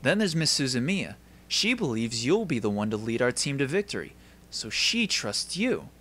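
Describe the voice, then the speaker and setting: A man's voice: medium pitch, big pitch swings, moderate pace, bright, forceful tone. A man voicing a polite, composed teenage esper who explains things courteously in an anime dub style. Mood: calm, assured.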